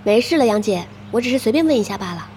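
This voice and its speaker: An actress playing a friendly, supportive young pro gamer chatting familiarly and curiously in a Chinese audio drama. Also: female voice, fairly high pitch, animated, quick pace, mellow, a little hiss or hum, close to an everyday voice. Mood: placating.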